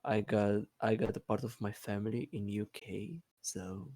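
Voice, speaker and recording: A man who is not a native English speaker, chatting casually in a halting way with repeated words and many fillers. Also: lowish voice, steady tone, warm tone, no room sound. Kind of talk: casual hesitant chat.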